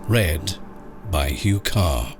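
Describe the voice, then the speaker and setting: A man narrating a scary short story in a husky voice, with slow, expressive, dramatic delivery. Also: low voice. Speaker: narrator of horror short stories. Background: music under the voice.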